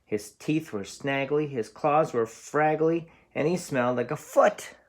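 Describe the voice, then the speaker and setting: A man's voice: a little high, unhurried. A man reading a storybook aloud as a teacher, explaining things simply for young children.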